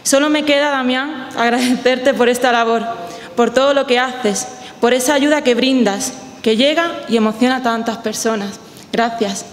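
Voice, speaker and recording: Woman introducing a speaker in an echoey hall. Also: normal speed. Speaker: association presenter. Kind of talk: read introduction. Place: conference hall. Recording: echoey hall with faint rumble.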